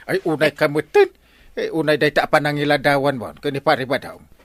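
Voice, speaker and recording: A man's voice: fairly high pitch, moderate pace, no room sound. A man playing a blustery, comic older village captain with an Ilocano accent, full of exclamations in a radio comedy drama. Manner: animated, exclamatory.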